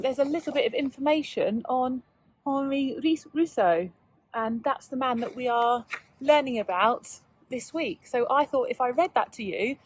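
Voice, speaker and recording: A woman speaks as a teacher to young children, reading and explaining at a measured tread. Her voice is fairly high with a husky texture, recorded very dry. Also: no room sound, rough recording. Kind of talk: children's read-aloud and informal lesson talk.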